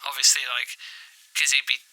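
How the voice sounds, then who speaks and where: A sheepish young-at-heart man being interviewed for a podcast drama. His voice is very bright and forward, and he rambles in casual, hesitant phrases. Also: mid-range voice, monotone, normal speed, low-quality recording. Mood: amused, rambling. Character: chatty, sheepish local man, anonymous relative of a killer. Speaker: anonymous interviewee. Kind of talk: casual phone interview chat.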